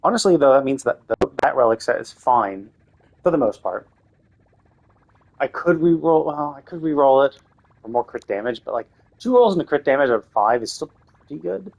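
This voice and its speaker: A man's voice: medium pitch, expressive, moderate pace, vocal fry. A man streaming a game live, thinking aloud in a loose, rambling way with lots of restarts. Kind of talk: casual live-stream commentary while playing.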